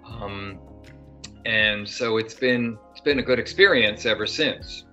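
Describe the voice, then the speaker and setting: A man's voice: on the low side, slow pace, bright, forceful tone. An older man, an actor guest, talking in a casual interview, rambling with many fillers and restarts. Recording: dull lo-fi sound with faint music.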